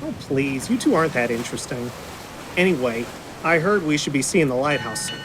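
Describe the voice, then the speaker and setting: A lively young male character played by an actor in an audio drama, teasing and nosy. His pitch swings freely, and waves and ship noise are clearly heard behind him. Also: fairly quick, natural voice. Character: cheeky, excitable, nosy young friend. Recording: noisy waves and ship ambience.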